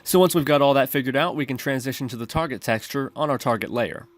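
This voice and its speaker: A man's voice: medium pitch, fast talker. A man narrating a step-by-step screencast tutorial, explaining his reasoning as he goes. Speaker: programming tutorial host.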